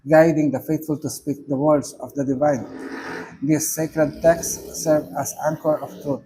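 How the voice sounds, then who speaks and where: A man with a Filipino accent, speaking English as a second language, giving a measured, solemn reflection on a prayer with occasional fillers. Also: mid-range voice, dark tone, slightly rough, poor audio. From Philippines. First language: not English. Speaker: reverend giving commentary.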